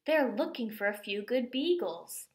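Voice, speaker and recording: A woman's voice: on the high side, lively intonation, measured pace, echoey room. A woman voicing a droll, food-loving, reluctant cartoon beagle thinking aloud in a dry, wry way. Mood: wry, doubtful.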